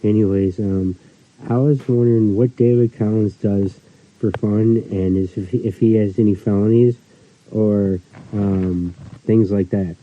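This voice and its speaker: A nervous man leaves a halting, rambling voicemail. His voice is a little low. The sound is thin and tinny, like a phone line. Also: steady tone, a little hiss or hum. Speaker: caller.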